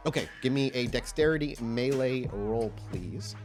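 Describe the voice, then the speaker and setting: A man's voice: mid-range voice, normal speed, music in the background. A man narrating and refereeing a tabletop roleplaying game as game master, mixing description with casual exclamatory asides.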